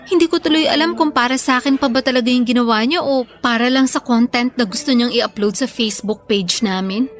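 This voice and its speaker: Actress doing a frustrated young filipino girlfriend in a radio drama, high sulky voice, music behind. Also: fairly quick, hardly any pauses, natural voice. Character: young working woman, frustrated and doubtful girlfriend.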